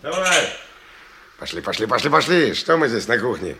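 An actor playing a tough, brash, hard-drinking ex-convict in a radio drama, curt and commanding in slangy speech. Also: male voice, medium pitch, big pitch swings, normal speed, vocal fry, pushed and bright, full character voice. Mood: jovial, bossy. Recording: noisy old radio play recording.

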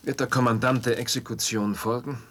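An actor in a German radio play as a reserved, politely doubtful visiting observer. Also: male voice, medium pitch, steady tone, fairly quick, fairly bright tone, a little hiss or hum, close to an everyday voice. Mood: reserved, uneasy.

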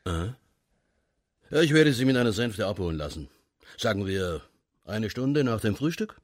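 A deep-voiced, courteous sea captain. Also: male voice, sing-song, moderate pace, a little hiss or hum. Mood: inviting. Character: worldly, hospitable sea captain of old Canton, sword collector. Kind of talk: radio drama acting.

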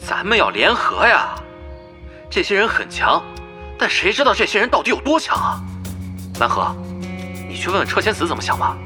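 A man's voice: high-pitched, wildly swooping pitch, normal speed, pauses often, sharp tone, soft background music, full character voice. An actor playing an anxious guild leader who urges his allies to team up. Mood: urgent.

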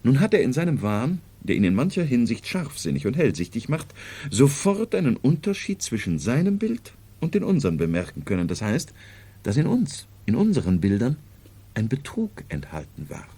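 A learned male psychiatrist in a 1970s radio drama. He lectures formally and pedantically in a husky, mellow voice. His pitch swings widely as he explains things with great self-assurance. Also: wildly swooping pitch, moderate pace, full character voice. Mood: lecturing.

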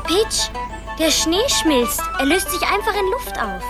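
Very high, plaintive little girl. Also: sing-song, measured pace, loud music behind the voice, exaggerated voice. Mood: amazed, delighted. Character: small girl, plucky, plaintive and outspoken. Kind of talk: German radio play acting.

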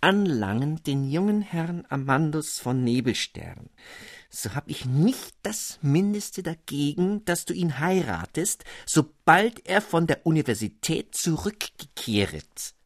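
A man's voice: fairly high pitch, sing-song, a little slow, no echo, clean studio sound, voice pushed up, full character voice. A man voicing a reclusive older astronomer father with a stiff, old-fashioned way of speaking. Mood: curt.

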